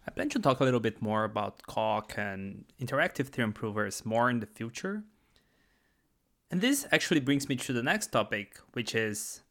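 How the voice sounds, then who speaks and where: A man's voice: mid-range voice, fairly bright tone. A man in his twenties from Brazil with a Brazilian Portuguese accent, a native Portuguese speaker, hosting a solo podcast in a chatty, enthusiastic way with restarts and asides. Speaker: podcast host.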